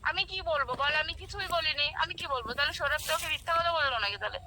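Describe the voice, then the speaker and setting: A woman's voice: sits high, lively intonation, rapid-fire, pushed and bright, a little hiss or hum. A woman on the phone in a heated informal argument, defending herself indignantly and repeating herself. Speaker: friend receiving a prank call.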